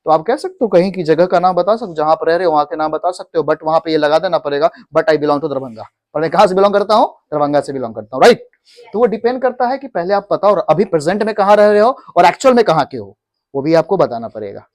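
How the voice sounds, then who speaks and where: High-voiced teacher drilling English phrases. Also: male voice, lively intonation. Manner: repeats phrases slowly for students to echo. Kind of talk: classroom English lesson in Hindi. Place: classroom.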